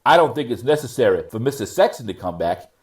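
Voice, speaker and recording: A man playing a stern but fair judge in a readers theater courtroom, speaking formally and admonishing in a measured way. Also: mid-range voice, very animated, close to an everyday voice. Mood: businesslike.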